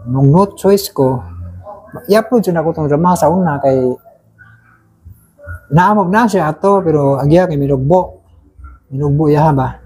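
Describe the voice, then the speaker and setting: A man's voice: fairly high pitch, measured pace, pauses often, a little mellow, breathy edge, low-quality recording. A man with a Filipino accent chatting casually to listeners as a host, rambling and often adding short tag questions. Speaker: uploader and host of drama channel. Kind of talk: casual unscripted chat to listeners.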